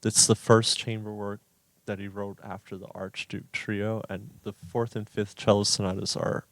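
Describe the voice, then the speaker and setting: A young man from New York City with a low, even voice. He speaks in a flat, musing way and pauses often to find his words. The recording is close and dry. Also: dry recording, rough recording. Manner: thoughtful, musing, hesitant with ums. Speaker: pianist, music student. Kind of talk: radio interview. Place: radio performance studio.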